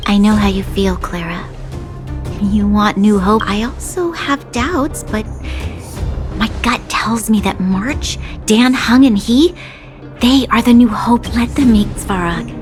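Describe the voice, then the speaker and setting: A woman's voice: mid-range voice, slow pace, faint music behind, natural voice. An actress playing a resolute young woman in a game cutscene, earnest, composed and formal. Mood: earnest, reassuring.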